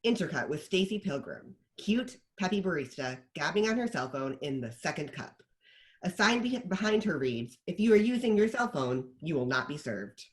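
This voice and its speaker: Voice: medium pitch, very animated, moderate pace, low-quality recording. A narrator of unclear sex reading screenplay stage directions as scripted narration.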